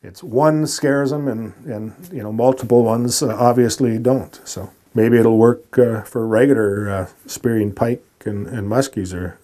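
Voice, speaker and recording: An older man, explaining spear fishing in a dark ice shack, with many restarts and fillers. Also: medium pitch, steady tone, measured pace, hardly any pauses. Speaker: spear fisherman and decoy carver. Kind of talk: casual explaining on location.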